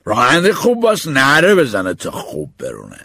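A man voicing a stern male driving instructor who lectures slowly and gruffly. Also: medium pitch, wildly swooping pitch, pitched above the performer's own voice. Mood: lecturing. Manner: slow, lecturing.

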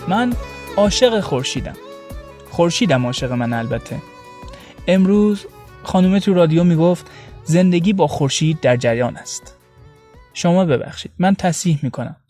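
A man's voice: fairly high pitch, lively intonation, pauses often, a little mellow. A man reading scripted, intimate prose expressively, with a tender and wistful delivery. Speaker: podcast narrator. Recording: roomy echo, faint music behind.

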